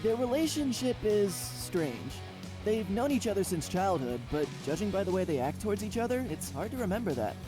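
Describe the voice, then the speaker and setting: A man's voice: sits high, sing-song, moderate pace, music in the background, poor audio. A man voicing an easygoing, thoughtful young student in a visual novel game.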